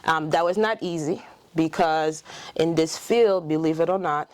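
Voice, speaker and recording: A woman's voice: sits low, unhurried, a little mellow, dry recording. A middle-aged Haitian woman whose first language is French, speaking in a Haitian French accent as a dentist and clinic owner in a casual interview, telling her story with many fillers and restarts.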